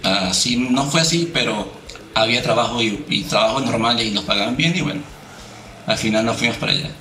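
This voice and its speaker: A man from Chile who grew up in Venezuela, telling stories in a relaxed, chatty way as an interview guest. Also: mid-range voice, a little fast, echoey room, a little hiss or hum. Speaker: guitarist, guest. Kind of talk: casual interview.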